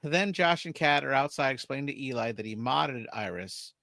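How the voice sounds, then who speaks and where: Male podcast host, mid pitch, dry close sound, easygoing plot recap. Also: lively intonation, moderate pace, vocal fry, sharp tone, no echo. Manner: recounts plot scene by scene, laughs often. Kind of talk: casual movie recap chat.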